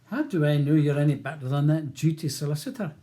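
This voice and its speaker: A man plays a small Glaswegian prisoner in an audiobook. He is blunt, scornful and distrustful, his voice pitched up and soft-edged. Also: fast, close to an everyday voice. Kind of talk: audiobook character dialogue.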